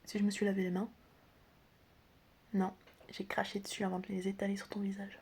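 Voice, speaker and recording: Soft whispering woman, playful ASMR roleplay. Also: mid-range voice, completely flat delivery, a little fast. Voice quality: soft, breathy, often whispering. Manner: playful, teasing, casual. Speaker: ASMR creator playing a chaotic doctor best friend. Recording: close recording with clear background noise. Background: background noise, clicking.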